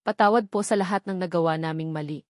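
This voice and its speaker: Steady, respectful young man, read by a woman narrator. Firm, polite and pleading. Dry sound. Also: on the low side, fast talker, no echo, natural voice. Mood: earnest pleading.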